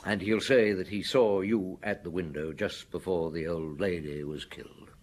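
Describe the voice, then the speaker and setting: A seasoned detective in a radio drama. His voice is low and husky. He coaxes answers out gently, in measured phrases broken by thoughtful murmurs. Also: steady tone. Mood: quietly probing. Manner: measured, gently coaxing, murmuring hums and pauses. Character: patient, probing middle-aged French police chief inspector. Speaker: detective interrogating a witness.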